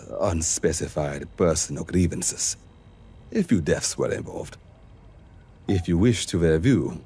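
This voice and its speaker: An actor playing a composed, formal intelligence informant in a video game, briefing in a measured, detached way. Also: male voice, low voice, darkish tone, husky.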